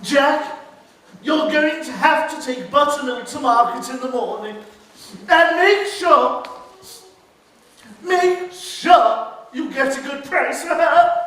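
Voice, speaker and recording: An echoey, distant stage recording of a campy English pantomime dame, acted by a man. The voice is very high and takes its time over each phrase, like a bawdy, scatty mother milking every line. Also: a bit bright, exaggerated voice. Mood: scolding, bossy. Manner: exaggerated campy comic delivery with drawn-out phrasing. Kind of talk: British pantomime stage acting. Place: theatre stage before an audience. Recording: echoey theatre stage, distant mic, faint noise.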